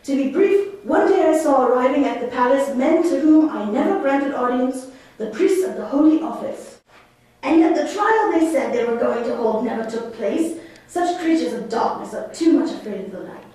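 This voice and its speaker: A woman's voice: sits high, expressive, normal speed, warm tone. A woman playing an imperious exiled duke and magician, a father, declaiming theatrically in a stage puppet play. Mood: grave, bitter. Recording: echoey room, distant microphone.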